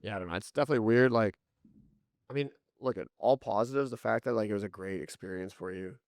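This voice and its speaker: Man, gravelly lowish voice, loud, swings up and down, casual co-host, dry. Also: fast, no room sound. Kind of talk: casual chat. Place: podcast studio.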